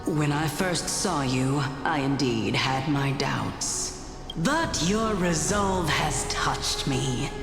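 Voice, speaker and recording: A solemn, gentle ancient woman general from a video game. Her voice is deep for a woman and slow, with dignified weight. It rings out in a long hall echo over music. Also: heavily acted. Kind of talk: scripted video game voice acting. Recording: long echoing hall reverb, music behind.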